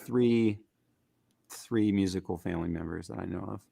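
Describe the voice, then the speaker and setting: A man with a raspy, gritty voice, talking casually as a musician guest in an interview, rambling with fillers. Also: deep voice, normal speed, no room sound. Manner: relaxed, rambling with fillers and restarts.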